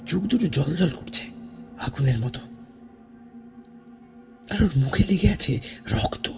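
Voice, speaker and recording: A man narrating a first-person horror story in a theatrical, suspenseful way, with dramatic pauses and hushed phrase endings. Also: high voice. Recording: voice over background music. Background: music.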